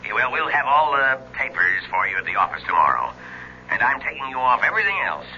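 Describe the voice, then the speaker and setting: An actor playing a brisk, businesslike law firm boss over a telephone in a 1970s radio drama. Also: male voice, medium pitch, very animated, sharp tone, a little hiss or hum. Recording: dull, faintly humming telephone effect, old radio.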